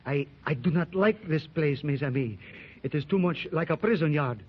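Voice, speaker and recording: An actor playing an excitable French adventurer in a 1930s radio serial, speaking with a French accent. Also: male voice, a little high, moderate pace, breathy edge. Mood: uneasy. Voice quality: theatrical French-accented delivery. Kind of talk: 1930s radio drama acting. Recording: muffled 1930s radio transcription.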